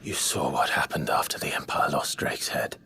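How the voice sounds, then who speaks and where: A grave rebel leader from a fantasy game, with a very low voice. He is measured, stern and resolute, with music behind him. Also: sharp tone. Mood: grim, resolute. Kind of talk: dramatic game dialogue.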